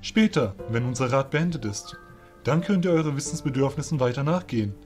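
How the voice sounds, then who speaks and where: A man's voice: mid-range voice, expressive, fairly quick, a little mellow, faint music behind, close to an everyday voice. A man voicing a courteous but commanding fairy general, speaking formally and with authority.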